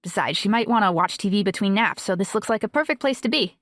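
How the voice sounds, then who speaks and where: A self-assured young woman acted by a female audiobook performer, recorded very dry. She talks fluently and matter-of-factly, with a casual, reassuring manner at a middle pitch. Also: lively intonation, fast, a bit bright, no echo, lightly acted. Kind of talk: audiobook dialogue acting.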